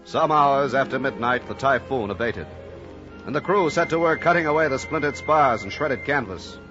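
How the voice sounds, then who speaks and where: A man's voice: medium pitch, expressive, moderate pace, close to an everyday voice. Guy as dramatic sea story narrator, grave, muffled old radio recording w music under. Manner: dramatic, literary delivery.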